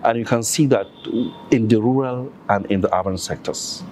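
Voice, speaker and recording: Nigerian male guest, low voice, earnest and insistent, interview chat. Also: normal speed, darkish tone, slight background noise, low-quality recording. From northern Nigeria. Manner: earnest, lists examples insistently. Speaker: podcast guest. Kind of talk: conversational interview.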